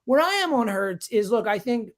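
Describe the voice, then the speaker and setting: A man's voice: very high voice, lively intonation, normal speed. A man giving sports analysis in conversation, speaking emphatically and repeating himself to drive points home. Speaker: sports radio host as guest analyst.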